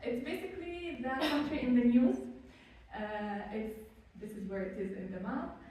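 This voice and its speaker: A woman in her thirties from Syria gives an earnest, somewhat halting talk to an audience, and her voice sits a little high. She is picked up from well back in a hall full of echo. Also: mellow. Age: 30s. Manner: earnest, slightly halting, with small slips and restarts. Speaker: student speaker at a symposium. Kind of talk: personal talk at an event. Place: lecture hall. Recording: echoey hall heard from a distance.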